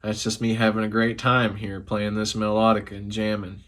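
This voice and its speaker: Hoarse, tipsy man rambling at home. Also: lowish voice, little pitch movement, normal speed, fairly bright tone. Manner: profane, loose and chatty, a bit tipsy. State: sick with a sore throat, slightly hoarse. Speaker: music vlogger. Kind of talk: casual rambling talk to camera. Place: living room at home.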